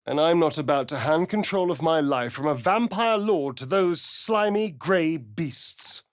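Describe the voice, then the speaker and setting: An actor playing a sardonic, vain, scheming vampire spawn in a video game, with an arch, drawling, theatrical delivery. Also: male voice, fairly high pitch, animated, a little slow, dry recording, studio quality. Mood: tense, resolute. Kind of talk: video game character voice lines.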